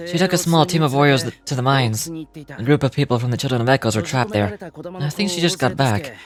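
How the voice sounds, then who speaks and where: A man's voice: medium pitch, animated, fairly quick, crowd noise, natural voice. A man voicing an earnest, dutiful young warrior in an anime fantasy game. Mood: concerned.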